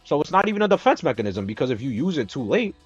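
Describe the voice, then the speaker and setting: Ranting male streamer, profane and animated. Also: fairly high pitch, rapid-fire, slightly rough, no room sound. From Dominican Republic. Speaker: streamer host. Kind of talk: casual gaming stream chat. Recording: slightly dull stream audio with music.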